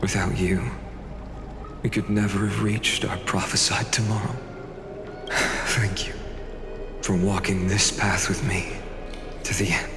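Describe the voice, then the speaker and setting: An actor playing a noble, earnest male game hero, soft and solemnly heartfelt in his delivery. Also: sits low, level tone, takes it slowly, long pauses. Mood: tender farewell. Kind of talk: dramatic video game voice acting. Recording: long reverb with loud music behind. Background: game music.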